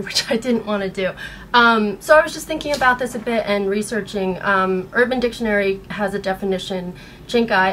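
An American woman in her thirties speaking in an earnest, halting way, upset and shaken, as in a personal vlog. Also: medium pitch. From United States. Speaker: vlogger. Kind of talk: personal video blog, partly reading aloud. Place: small bedroom at home. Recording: noticeable background room noise.

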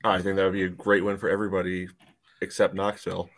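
A man's voice: low-pitched, completely flat delivery, quick pace, a bit bright. A man in his thirties chatting about sports in a rambling way with many filler phrases, on a video call. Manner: rambling, peppered with "you know". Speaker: podcast panelist. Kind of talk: casual sports chat. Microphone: webcam video call.

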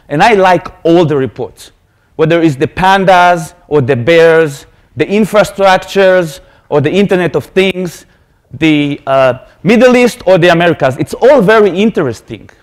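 Israeli man in his thirties, slightly higher voice, earnest conference presenter at a podium mic. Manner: earnest, explanatory, repeats words when restarting. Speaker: conference speaker. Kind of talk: technical conference talk. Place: lecture hall stage. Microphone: podium microphone.

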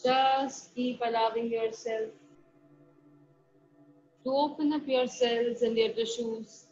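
Slow, soothing woman guiding a meditation. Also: a little high, steady tone. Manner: soft, slow instructions with long pauses. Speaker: meditation guide. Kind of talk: guided meditation. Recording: dull lo-fi recording with faint music. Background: soft background music.

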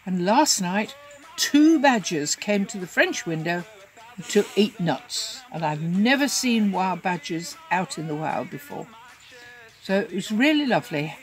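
A woman's voice: fairly low pitch, very animated, unhurried. An older woman recording a fond, chatty birthday video message on a phone at home. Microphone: phone camera.

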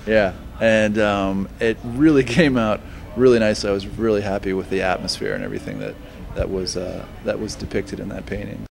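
A man around forty, a painter, talking in a casual, halting and thoughtful interview way about his work. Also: lowish voice, animated, creaky. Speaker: painter and art teacher. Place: busy restaurant during an art reception. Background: music.

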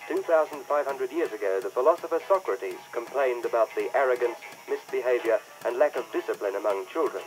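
A man delivers scripted narration in the manner of a documentary. His voice sits at a middle pitch, with faint music behind him in an old recording. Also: a little fast, hardly any pauses, low-quality recording.

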